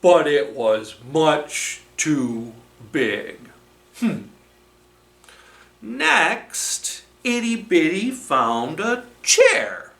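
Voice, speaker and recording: A man reading a storybook aloud to children as a storytime host, expressive, with dramatic pauses and emphasis. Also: on the high side, gravelly. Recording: roomy, echoing room sound.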